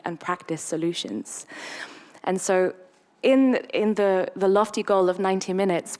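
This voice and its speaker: A woman introducing a public panel session in an earnest, enthusiastic, informal way. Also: fairly low pitch. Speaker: campaign coordinator and panel moderator.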